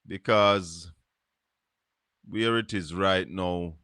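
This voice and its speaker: Slow-talking Jamaican man, bright and dry. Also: low voice, smooth, dry recording. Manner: slow, musing, drops into patois. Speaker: live stream host. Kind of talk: casual live-stream commentary.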